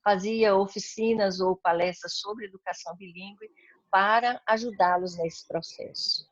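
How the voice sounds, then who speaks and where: A Brazilian woman interviewed on a video call, telling stories with warm enthusiasm and frequent tag questions. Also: medium pitch, fairly even intonation, unhurried, dry recording, dull audio. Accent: Brazilian Portuguese. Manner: warm, enthusiastic storytelling with many "né?" tags. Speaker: interviewee, heritage language educator. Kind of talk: casual interview conversation.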